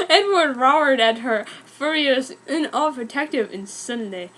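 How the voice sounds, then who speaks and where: A woman's voice: high-pitched, lively intonation, takes it slowly, sharp tone, rough recording. A woman doing a dramatic reading of amateur fan fiction as narrator, theatrical and easily amused. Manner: theatrical, often breaking into giggles.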